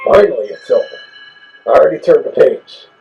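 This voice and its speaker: A man's voice: medium pitch, expressive, brisk, gravelly, muffled recording, over music. A man giving casual, fault-finding commentary as a host reviewing a recording.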